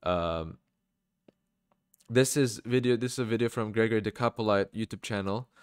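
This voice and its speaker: A man's voice: lowish voice, moderate pace, clear, resonant tone, no echo, studio quality. A man giving informal religious commentary and scripture readings, emphatic, with colloquial asides and rhetorical questions.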